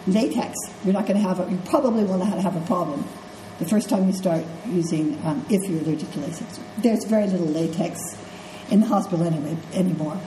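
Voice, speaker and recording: A middle-aged woman with a stuffed-up, congested voice lectures nursing students in a relaxed, conversational way, often asking "okay?" and "right?" as she goes. Her voice sits fairly low and mellow. The recording is echoey, with a faint hum of room noise behind her. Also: fast. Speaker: nursing instructor. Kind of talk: classroom lecture. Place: classroom. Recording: echoey classroom with faint ambience.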